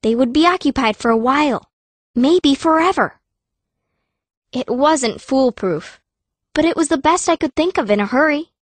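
A woman's voice: sits high, animated, moderate pace, pauses often. A woman voicing a fierce, cunning young girl mage who narrates her own story as an audiobook, with long reverb. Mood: tense storytelling. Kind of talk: first-person audiobook narration.

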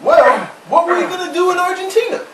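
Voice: very high voice, lively intonation, brisk, recorded from a distance, over a noisy crowd. A performer voicing a folksy sheep shopkeeper with a rural drawl in an amateur home puppet show. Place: indoor room with a puppet stage. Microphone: far camera. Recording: roomy home video from afar.

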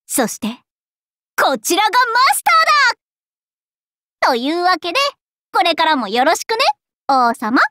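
Playful young anime knight boy, very high pitch, bright, bubbly, exclamatory, dry studio sound. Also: wildly swooping pitch, a little slow, long pauses, dry recording, full character voice. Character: cheerful, scatterbrained androgynous young male knight. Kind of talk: anime game character acting.

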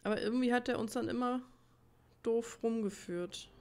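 A woman's voice: a little high, normal speed, darkish tone, clean tone. A woman giving casual gameplay commentary, rambling and thinking aloud as she plays. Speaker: let's player. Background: game music.

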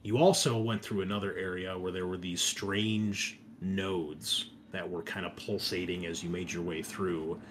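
A man with a lowish voice narrates a tabletop game recap as game master. He is informal and sweary, pausing mid-phrase and stretching descriptive words. Light noise sits behind his voice. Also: level tone, fairly bright tone. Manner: casual, sweary, conversational asides. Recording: clear background noise, room ambience.

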